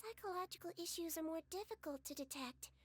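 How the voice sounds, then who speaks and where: A woman voicing a small, kindly girlish creature in a video game, a head nurse who speaks gently and reassuringly. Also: extremely high pitch, animated, a little fast. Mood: calm, professional. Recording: background music under dialogue.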